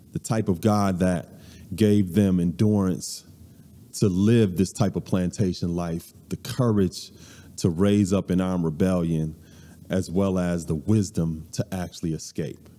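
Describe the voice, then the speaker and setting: A man in his forties giving a conversational, measured talk at a church service, with frequent ums, recorded from a stand mic in a large hall. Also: sits low, mellow. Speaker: guest speaker. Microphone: stand mic at a few steps, far camera. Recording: long hall reverb.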